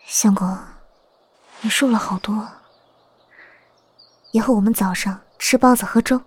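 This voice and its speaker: A woman's voice: mid-range voice, measured pace, slightly rough. A woman acting a tender, plaintive young wife in a Chinese period romance audio drama. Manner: soft, plaintive, coaxing.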